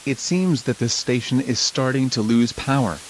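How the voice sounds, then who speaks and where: A man playing a local TV news anchor in a scripted emergency broadcast, speaking in a formal, measured way. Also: mid-range voice, a little hiss or hum, close to an everyday voice, rough recording. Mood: grave, urgent. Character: local TV news anchor reporting a nuclear attack.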